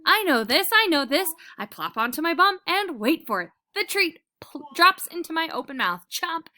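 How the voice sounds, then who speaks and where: A woman voicing an excitable, gleeful male pet dog who loves treats, in a children's storybook read-aloud. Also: extremely high pitch, normal speed, voice pushed up, exaggerated voice. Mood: excited. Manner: exclamatory, gleeful, boastful.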